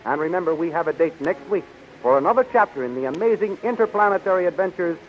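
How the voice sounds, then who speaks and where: A man's voice: a little high, expressive, quick pace. A man with an American accent announcing a 1930s radio serial in a theatrical, declamatory scripted style. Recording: dull, noisy 1930s radio recording.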